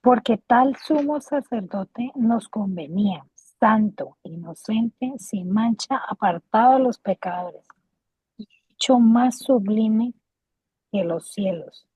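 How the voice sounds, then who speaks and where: A woman reading a Bible passage aloud in a measured way, heard over a video call. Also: a little high, expressive, dark tone, slightly rough, dry recording, poor audio. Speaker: student reading scripture.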